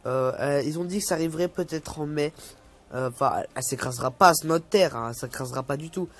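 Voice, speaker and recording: Young dude vlogging, bit high voice, stumbles and says euh a lot while reading out an article. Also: male voice, fast. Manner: hesitant, many fillers and stumbles while reading. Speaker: youtuber. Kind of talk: casual vlog, reading an article aloud.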